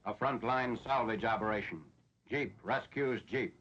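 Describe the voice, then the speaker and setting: A man's voice: on the low side, measured pace, a bit bright, slightly rough. An American man narrating a 1940s military newsreel in a formal, matter-of-fact way. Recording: dull, lo-fi 1940s film soundtrack.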